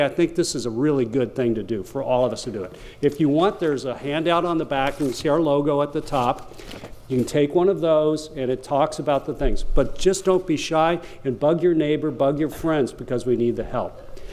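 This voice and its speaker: A man's voice: fairly high pitch, a little mellow. A man giving an informal public address as an introducer, folksy and conversational, often tossing out questions and tags to the listeners. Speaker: library foundation representative introducing a speaker.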